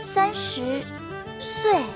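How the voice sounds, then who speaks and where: A woman's voice: extremely high pitch, expressive, drawn-out words, heavily acted. An actress playing a carefree, curious young village girl in a radio drama, innocent, playful and inquisitive. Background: background music.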